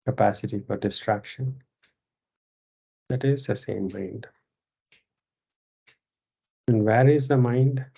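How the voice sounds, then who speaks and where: A yoga teacher leads a guided meditation very slowly, with a creaky, fry-laden voice. The delivery is calm and measured, in short phrases set apart by pauses. The recording is somewhat dull and muffled. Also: mid-range voice.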